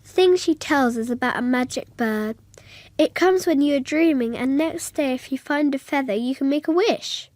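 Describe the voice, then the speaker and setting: A woman's voice: high voice, moderate pace, darkish tone, no echo, close to an everyday voice. An actress playing a young, imaginative schoolgirl narrating a radio drama in a naive, literal way. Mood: earnest, dreamy. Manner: naive, literal, childlike phrasing.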